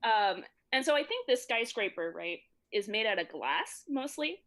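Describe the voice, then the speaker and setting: A woman's voice: fairly high pitch, sing-song, normal speed, a bit bright. A woman from Texas running a tabletop game as game master, easygoing and thinking aloud.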